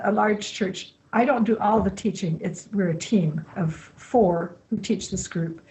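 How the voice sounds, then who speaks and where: A woman in her sixties who grew up in Brazil, a missionary Bible teacher answering interview questions in a calm, measured way. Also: mid-range voice, low-quality recording.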